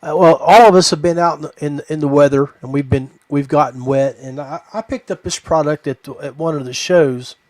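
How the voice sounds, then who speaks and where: A man with a slightly high voice hosts a casual podcast. He talks informally and haltingly, off the cuff. The sound is dry, with little room in it. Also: darkish tone, no room sound. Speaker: podcast host. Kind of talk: casual unscripted podcast chat.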